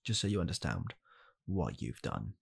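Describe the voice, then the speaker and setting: A young man playing a blunt, callous father in an ASMR comedy roleplay, speaking softly and haltingly in a deadpan way. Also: low-pitched, creaky, slightly rough, full character voice. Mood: deadpan blaming. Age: young adult. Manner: soft-spoken, halting, deadpan. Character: blunt, callous father calmly blaming his child. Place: small home room, indoors.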